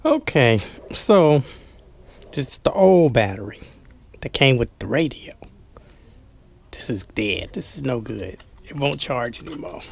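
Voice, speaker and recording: Husky, gravelly man chatting casually. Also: mid-range voice, expressive, a little slow, pauses often. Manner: informal, folksy, talks to himself while handling things. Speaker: vlogger showing an unboxing. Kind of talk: casual hands-on product demo chat. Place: small carpeted room indoors.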